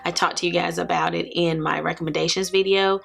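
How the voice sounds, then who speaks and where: A woman in her forties, hoarse from allergies, chatting casually as a beauty vlogger. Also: fairly low pitch, flat delivery, a little fast, fairly bright tone. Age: 40s. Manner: chatty, laughs often. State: raspy from allergies. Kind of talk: casual haul vlog.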